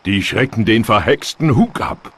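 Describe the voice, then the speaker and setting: A man voicing a bold talking cartoon car with a gravelly, dramatic, drawn-out delivery. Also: fairly low pitch, animated, a bit bright, full character voice. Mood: spooky, ominous. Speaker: voice actor in German dub. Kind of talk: animated cartoon dialogue.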